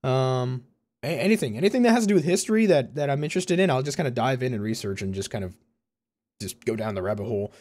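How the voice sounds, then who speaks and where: A man's voice: mid-range voice, lively intonation, fast talker, darkish tone. A man in his early twenties with a Californian American accent, chatting casually and informally to viewers in a rambling way, often correcting himself. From Concord, California, USA. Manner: rambling, informal, self-correcting, frequent "you know" and "like". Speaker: YouTuber and university student. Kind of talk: casual personal vlog talk to viewers.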